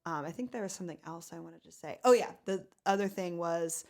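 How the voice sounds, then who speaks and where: A woman with a deep, low-set voice talks through advice in a relaxed podcast chat. She is earnest and encouraging, and she laughs easily as she goes. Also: fairly quick. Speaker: podcast co-host.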